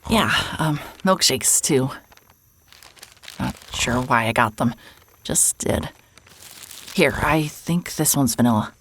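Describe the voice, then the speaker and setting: An actress playing a weary, evasive woman in an audio drama, speaking haltingly and apologetically. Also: very deep voice, a bit bright, slight background noise, exaggerated voice. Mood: subdued, evasive. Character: weary, evasive woman, a traveller staying at a motel. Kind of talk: naturalistic audio drama acting.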